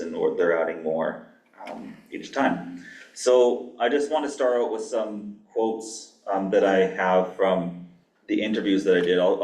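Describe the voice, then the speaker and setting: Very low monotone man lecturing. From Canada. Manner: flat, dry asides, many ums. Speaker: guest lecturer, pharmacy professor. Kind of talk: academic lecture with slides. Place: lecture hall. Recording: echoey hall, mic far off.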